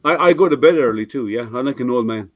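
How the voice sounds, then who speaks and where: A man's voice: mid-range voice, lively intonation, fast talker, no echo, muffled recording. An older man hosting a casual live stream, his voice a little scratchy, explaining his printer with many restarts and fillers. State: slightly scratchy voice.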